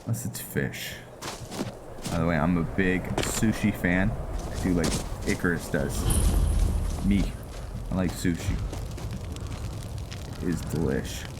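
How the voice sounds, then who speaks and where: A man's voice: low-pitched, slow, long pauses, very noisy. A man giving casual, rambling game commentary, thinking aloud and often searching for words as he narrates a roleplay playthrough. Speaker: gaming video host narrating a roleplay playthrough.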